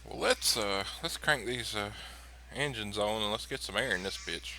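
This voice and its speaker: A man's voice: lowish voice, moderate pace, creaky, noisy background. A man playing a tabletop game with friends, blunt and joking. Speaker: player. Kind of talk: casual tabletop game chat.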